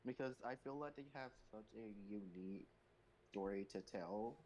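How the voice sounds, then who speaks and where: A man's voice: on the low side, moderate pace, darkish tone, low-quality recording. A man hosting a question-and-answer stream as a comic creator, chatting casually with the group over a voice call. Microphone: voice call microphone. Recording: noticeable background noise.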